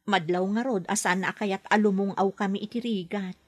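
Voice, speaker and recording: A woman's voice: medium pitch, fairly even intonation, brisk, slightly rough, close to an everyday voice. A woman playing a nervous young nanny who is newly abroad in a radio drama, speaking hesitantly and with a flustered manner. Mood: resigned. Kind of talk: Ilocano radio drama acting.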